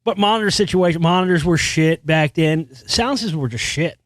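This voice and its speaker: A man hosting his own podcast in a loose, wandering monologue. He often restarts sentences and swears casually. His voice sits a bit high for a man, recorded dry with no room sound. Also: moderate pace, dry recording. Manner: experienced singer with a high singing voice. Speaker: podcast host. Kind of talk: casual solo monologue about music.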